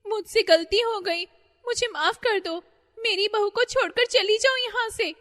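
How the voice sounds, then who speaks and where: Woman voicing a shrill panicky old mother-in-law. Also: unhurried, a bit bright, long reverb tail. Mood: panicked. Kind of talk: cartoon story voice acting.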